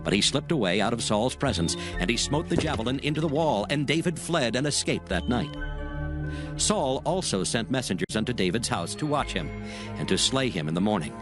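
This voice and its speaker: A man's voice: medium pitch, lively intonation, fairly quick. Guy narrating the bible, solemn but really varied intonation, music playing behind. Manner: solemn, formal archaic phrasing. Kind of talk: dramatized scripture narration.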